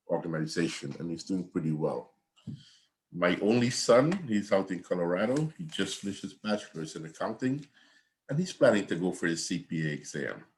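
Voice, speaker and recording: An older man from Guyana, an accounting professor giving a conversational, rambling online class lecture over a video call from home. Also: sits low. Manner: conversational, repetitive, digressive.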